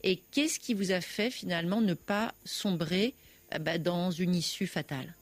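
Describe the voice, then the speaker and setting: Gentle, measured woman radio host. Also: fairly low pitch, no echo. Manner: gentle, measured, probing questions. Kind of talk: radio call-in interview.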